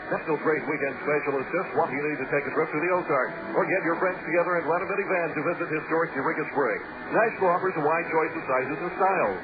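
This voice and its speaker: A man reading a scripted radio commercial in a brisk, upbeat sales delivery. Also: a little high. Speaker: commercial announcer. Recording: tinny radio ad with music bed.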